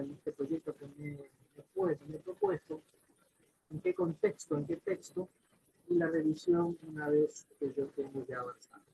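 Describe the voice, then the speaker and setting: A man teaching a class in a loose, chatty lecture, his voice leaping between high and low. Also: creaky, phone-quality sound, slight background noise.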